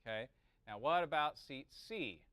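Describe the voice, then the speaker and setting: A man's voice: medium pitch, expressive, normal speed, a bit bright, clean tone, no room sound. A man giving an informal technical lesson, thinking aloud and checking in with short questions. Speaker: teacher of audio engineering. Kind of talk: informal classroom lesson, working through a calculation aloud.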